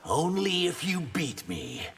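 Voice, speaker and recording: A sincere, guarded young lizard-man fighter from a video game. His fairly high voice moves up and down a lot, echoing in a long reverb over some background noise. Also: wildly swooping pitch, takes it slowly, fairly bright tone, breathy edge, heavily acted. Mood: earnest, guarded. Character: earnest young reptilian shapeshifter warrior, wary and sincere.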